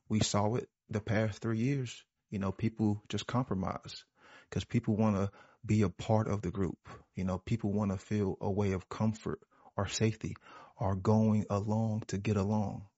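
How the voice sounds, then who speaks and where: A man's voice: fairly low pitch, a little mellow. A man giving a reflective spiritual talk in an earnest, preachy manner, repeating phrases for emphasis. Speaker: panel speaker. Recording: roomy, dull lo-fi audio.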